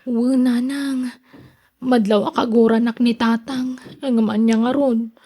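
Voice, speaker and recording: A woman's voice: fairly high pitch, steady tone, unhurried, warm tone, breathy edge. A woman with a Filipino accent playing a regretful young single mother in a radio drama, plaintive and hesitant. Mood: worried, remorseful. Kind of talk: Ilocano radio drama acting.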